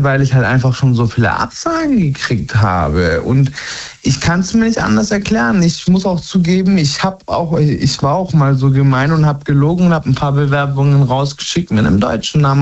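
A man in his twenties, born and raised in Germany, talking candidly as a guest and hesitating often with fillers and restarts. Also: medium pitch. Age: 20s. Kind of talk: candid personal conversation. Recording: dull lo-fi sound.

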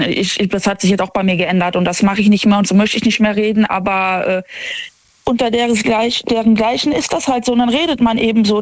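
A young male caller with a high voice, recorded dry and close. He argues bluntly in casual language, stumbling and repeating words and syllables as he goes. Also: no echo, dull audio. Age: young adult. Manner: halting, repeats words and syllables when nervous. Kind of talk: casual opinionated phone-in talk.

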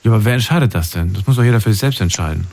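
A man with a fairly low voice hosts a live call-in show. He talks informally and conversationally, putting questions to callers and addressing listeners directly. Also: animated, very fast, vocal fry, darkish tone, a little hiss or hum. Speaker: radio call-in show host. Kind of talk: casual live talk with callers.

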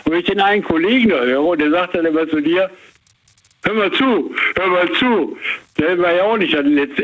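Older man rambling on a tinny phone line. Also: fairly high pitch. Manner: rambling, hesitant, many fillers. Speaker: caller. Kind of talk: casual phone chat.

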